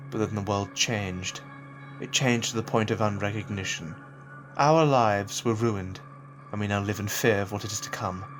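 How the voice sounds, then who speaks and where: An actor playing a stubborn, impassioned young husband in a dystopian audio drama, earnest and desperate. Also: male voice, on the low side, close to an everyday voice. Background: music.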